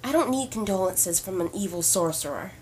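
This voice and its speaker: A woman's voice: medium pitch, animated, fairly quick, a little mellow, slight background noise, lightly acted. A woman playing a stressed, skeptical heir in an audio drama, incredulous and defiant in manner. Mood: skeptical, sarcastic. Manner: incredulous, combative, sarcastic.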